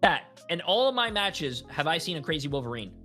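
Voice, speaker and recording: A man's voice: on the high side, sing-song, brisk, sharp tone, soft background music. A man in his early thirties chatting casually about gaming, loose and slangy, with frequent swearing. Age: early 30s. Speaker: guest player ranking game characters.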